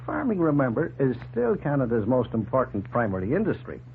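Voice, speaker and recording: Earnest farmer man explaining things, animated, narrow crackly 40s radio play sound. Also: medium pitch, a little fast. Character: hospitable, plain-spoken, earnest male Canadian farmer. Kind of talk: 1940s radio drama acting. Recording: tinny, humming 1940s radio recording.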